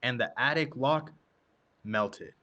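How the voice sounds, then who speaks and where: A man narrating a scripted radio drama with suspenseful, deliberate phrasing. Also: mid-range voice, lo-fi sound, very noisy.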